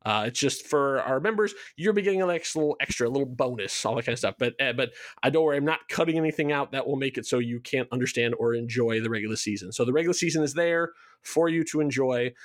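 A man's voice: mid-range voice, fast, few pauses, dry recording, clean studio sound. A man speaking as a show creator in a casual promotional chat, rambling along in a jokey, self-deprecating way. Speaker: show creator being interviewed.

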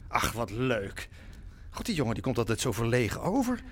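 An actor playing a chatty, genial older village man in an audio drama, effusive and rambling with drawn-out exclamations. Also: mid-range voice, big pitch swings, in a large hall. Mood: delighted, gossipy. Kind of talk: naturalistic Dutch audio drama dialogue.

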